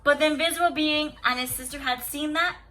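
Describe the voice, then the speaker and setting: A woman teacher reading a story aloud to young children in an expressive, deliberate, instructive way with dramatic pauses. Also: sits high, background noise. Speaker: kindergarten teacher.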